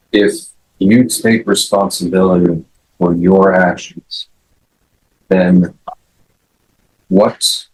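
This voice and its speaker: A man questions a witness in court with a low, husky voice. His delivery is formal and deliberate, and his intonation stays very flat. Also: low-quality recording.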